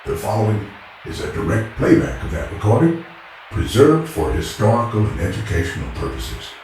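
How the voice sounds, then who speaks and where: A man's voice: fairly low pitch, big pitch swings, moderate pace, a little mellow. A man playing a formal, grave announcer, reading a scripted introduction to an archive recording in an audio drama. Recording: very echoey, music underneath.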